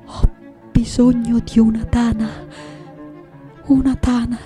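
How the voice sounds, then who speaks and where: An actress playing a reflective, anguished and defiant artist in her late nineties in a theatrical, deliberate radio monologue. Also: on the high side, little pitch movement, warm tone, soft background music. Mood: urgent, pleading. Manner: theatrical, deliberate, dwelling on repeated words. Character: 98-year-old woman artist, intense, reflective, anguished, defiant.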